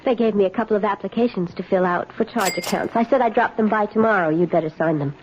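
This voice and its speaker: A woman's voice: mid-range voice, quick pace, close to an everyday voice. An actress playing a brazen, manipulative young woman who taunts and bosses in a radio mystery. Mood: brisk, sly. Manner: bossy, breezy, mocking. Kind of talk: 1970s radio mystery acting. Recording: noisy, dull 1970s radio broadcast recording.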